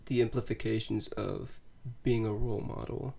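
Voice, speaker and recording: A young man and new father in a casual podcast chat, sounding nasal and sniffly from a sinus infection, reflective and soft-spoken. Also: lowish voice, fairly even intonation, normal speed, vocal fry. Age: young adult. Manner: reflective, quiet, trails off with sighs.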